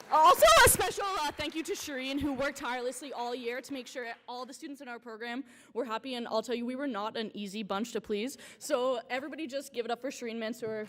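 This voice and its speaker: A woman's voice: a little high, a little hiss or hum. Bubbly young woman accepting an award. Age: young adult. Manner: excited, bubbly, loud and energetic. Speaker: student award winner. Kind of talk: acceptance speech. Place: event hall.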